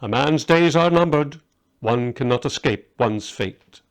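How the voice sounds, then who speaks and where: A man's voice: medium pitch, lively intonation, unhurried, clean tone. A man as Balthazar, a solemn aging magus. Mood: grim, fatalistic. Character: fatalistic aging male magus, devoted and exclamatory.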